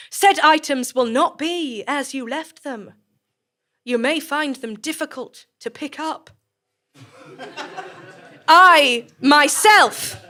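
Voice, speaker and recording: A genial woman director of a mad science institute, addressing staff in an audio comedy. Her high voice moves in wide, swooping tones. She stays formal, polite and unflappable, taking her time over every phrase. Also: a bit bright, heavily acted. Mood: calm, regretful. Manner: polite, formal, measured, understated. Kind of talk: comic audio drama speech.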